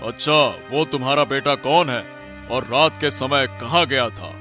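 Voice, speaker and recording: A man as a bright, forceful questioning king. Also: medium pitch, measured pace. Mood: concerned. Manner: commanding, questioning. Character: authoritative king, questioning and concerned. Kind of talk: animated Hindi cartoon story acting. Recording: music under the voice.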